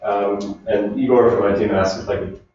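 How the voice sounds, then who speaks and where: Man from finland, technical meetup talk, relaxed, echoey hall, distant mic, dull sound. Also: on the low side, normal speed, background noise. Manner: relaxed, chatty with the audience. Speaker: conference speaker. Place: large echoey meetup room. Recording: distant, roomy, dull room recording.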